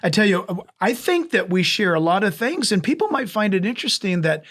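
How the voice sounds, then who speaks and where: Man, pastor, podcast host, eager, effusive, high voice. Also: very animated, brisk, fairly bright tone. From San Diego area. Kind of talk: enthusiastic interview hosting.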